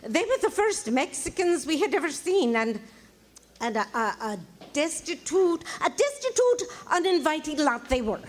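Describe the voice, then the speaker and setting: A woman's voice: high voice, sing-song, moderate pace. A woman playing a frightened, prim nun in a radio drama, stammering anxiously as she testifies. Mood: flustered, anxious.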